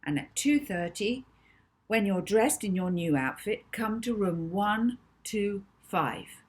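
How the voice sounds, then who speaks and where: A woman voicing a confident, bossy young girl in a children's storybook, speaking deliberately. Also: medium pitch, lively intonation. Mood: commanding. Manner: deliberate, instructing. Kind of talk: children's storybook character dialogue.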